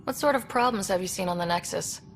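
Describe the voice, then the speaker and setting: Woman as young space explorer girl, quick talker, lowish creaky voice, curious, plain game dialogue, some noise. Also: faint music behind, close to an everyday voice. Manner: plain, inquisitive, conversational. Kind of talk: video game dialogue acting.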